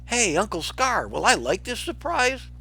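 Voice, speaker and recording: A young lion cub from a children's storybook, played by a man reading aloud. The voice is pitched high and boyish, swinging widely with a pleading, trusting manner. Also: very animated, unhurried, sharp tone, slightly rough, soft background music, exaggerated voice, poor audio. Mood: eager, trusting. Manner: pleading, crying out.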